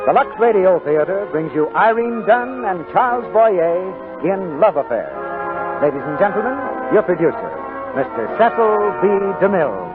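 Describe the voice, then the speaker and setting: A man's voice: high-pitched, very animated, normal speed. An American man as a 1940s radio announcer, grand and formal in his delivery. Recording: narrow 1940s radio, loud music.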